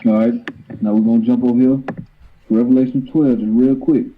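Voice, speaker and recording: A man's voice: mid-range voice, steady tone, fairly quick. A man teaching scripture informally, moving between reading verses aloud and casual explanation. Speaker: Bible teacher. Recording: thin phone-like audio with noise.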